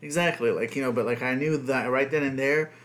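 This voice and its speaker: A man's voice: mid-range voice, steady tone, fairly quick, a bit bright. A young man, a dad who grew up speaking Spanish first, chatting loosely and cursing a lot on a podcast. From United States. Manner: profane, rambling, heavy on fillers. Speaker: podcast co-host. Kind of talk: casual podcast chat.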